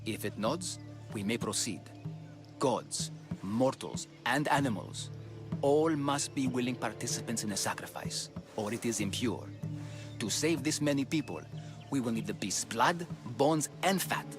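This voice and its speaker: An actor playing a grave, devout ancient Greek priest and healer with a solemn, measured manner in a video game. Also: male voice, medium pitch, animated, close to an everyday voice. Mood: earnest. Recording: game music behind the voice.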